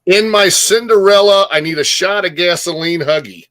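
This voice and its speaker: A man's voice: high voice, moderate pace, fairly bright tone. Easygoing, self-deprecating man on a live stream. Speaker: co-host. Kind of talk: casual live-stream chat.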